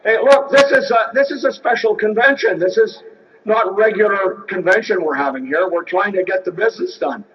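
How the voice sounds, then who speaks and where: Man chairing a big convention, high voice, brisk and firm, sounds far off and muffled. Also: slight background noise. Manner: brisk, informal, firm with the floor. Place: large convention hall. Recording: distant, dull hall audio.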